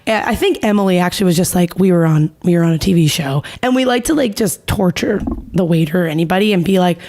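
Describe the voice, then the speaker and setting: A raspy woman in her thirties who is nearly out of voice, co-hosting a casual podcast. Her delivery is gravelly with vocal fry, and she tells chatty, teasing stories. She is recorded close on a studio mic. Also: lowish voice, fast, darkish tone. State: losing her voice. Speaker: podcast co-host. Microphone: close ASMR-style studio mic.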